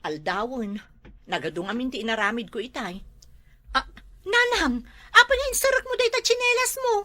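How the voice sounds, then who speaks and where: A woman's voice: high voice, very animated, normal speed, pushed and bright, heavily acted. A woman playing a busy, put-upon Filipino mother with an Ilocano accent in a comedy drama. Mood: harried. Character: busy, put-upon housewife and mother, grumbling about chores.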